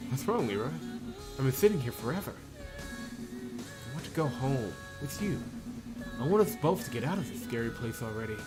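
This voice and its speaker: Anxious young female game companion, played by a man, raised pitch, pleading, over prominent music. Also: wildly swooping pitch, moderate pace, long pauses, rough recording. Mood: pleading, impatient. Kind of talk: visual novel character reading.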